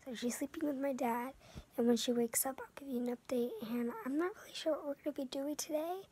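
A woman's voice: sits high, a little fast, a little mellow, a little hiss or hum. A young girl with a sore throat and runny nose, whispering and chatting as she co-hosts a casual vlog from bed, filmed on a phone held at arm's length. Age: child. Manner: chatty, rambling, often whispering. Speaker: vlog co-host. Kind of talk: casual vlog chat to camera. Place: bedroom, lying in bed. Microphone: phone front camera at arm's length.

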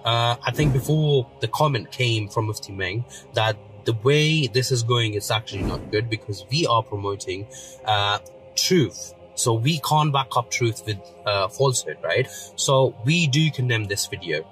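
A man's voice: mid-range voice, measured pace, a bit bright, faint music behind, poor audio. A man in his thirties, born in Pakistan with Urdu as his first language, earnestly talking in a parked car, recorded on a phone, with many fillers. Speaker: commentator. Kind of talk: casual video rebuttal.

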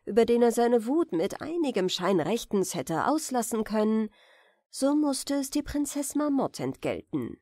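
A woman narrates a storybook fairy tale in a high voice, reading slowly and carefully. Her intonation is lively and expressive, in the measured style of a bedtime audiobook. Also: dry recording, clean studio sound.

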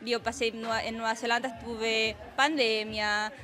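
A woman from Chile with a Chilean Spanish accent, telling her story lively into a handheld mic. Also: on the high side, normal speed. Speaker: interviewee. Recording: music in the background.

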